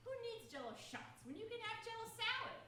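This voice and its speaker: A woman from Salem, Oregon, giving an earnest, gently humorous stage monologue. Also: very high voice, big pitch swings, fairly quick, a little hiss or hum. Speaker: stage performer. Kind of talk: scripted theatre monologue. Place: theatre stage. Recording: roomy echo from far off.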